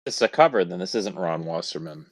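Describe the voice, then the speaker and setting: A man's voice: deep voice, lively intonation, fairly quick, dry recording. A man talking casually as a panelist on a film commentary.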